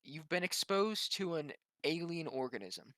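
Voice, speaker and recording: A man's voice: on the high side, sing-song, a little slow, a bit bright, no echo. A man playing a tabletop roleplaying game, chatting casually as he decides what his character does.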